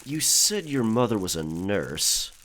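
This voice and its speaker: A sceptical pirate played by a male actor in an audio drama. His voice sits fairly low and moves around a lot in pitch. He speaks tersely, in watchful, probing questions. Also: big pitch swings, measured pace, fairly bright tone, exaggerated voice.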